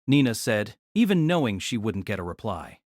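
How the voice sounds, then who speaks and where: A woman's voice: a bass voice, sing-song, normal speed, a little mellow, no room sound, clean studio sound. A woman narrating a scripted, dramatized story as its narrator.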